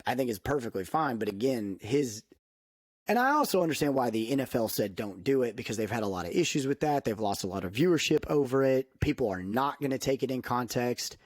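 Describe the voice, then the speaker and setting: A man co-hosting a podcast, talking in an opinionated, emphatic way that jumps up in pitch when he gets worked up. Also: expressive, fairly quick, no echo, studio quality. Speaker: podcast co-host. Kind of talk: casual chat.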